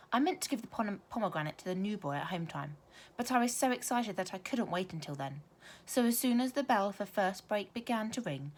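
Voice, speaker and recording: A woman with a fairly low voice reads a children's story aloud. She is expressive and teacherly, placing careful emphasis on words and pausing for drama. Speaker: primary school teacher reading aloud.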